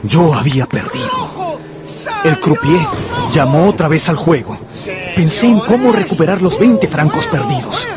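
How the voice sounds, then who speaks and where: An actor playing an anxious gambler who narrates his own ruin in a radio novel, brooding and intense, with dramatic pauses. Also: on the high side, huge pitch swings, loud music behind the voice. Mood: feverish, defiant.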